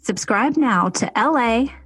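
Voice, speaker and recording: A woman with a husky voice delivering a scripted promo as a podcast co-host. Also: on the high side, expressive, a little slow, fairly bright tone, dry recording, faint music behind.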